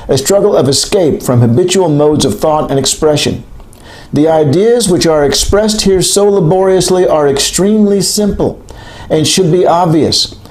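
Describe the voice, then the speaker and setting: A man reading a textbook aloud as scripted narration, in a measured, clearly enunciated lecturing way. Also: a little high, darkish tone, rough recording. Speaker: author reading an audiobook chapter.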